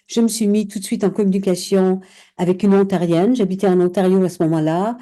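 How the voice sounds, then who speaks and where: A woman hosts a casual interview in a very dark, mellow voice. She frames her questions loosely and hesitantly, with many fillers as she goes. Her tone is friendly and unhurried. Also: medium pitch, fairly even intonation. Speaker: interviewer, host.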